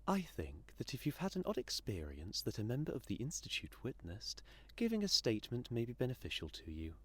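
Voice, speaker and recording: A man acting an odd, gently persistent institute worker in an audio drama, husky and measured, slightly awkward. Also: medium pitch, huge pitch swings. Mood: persuasive.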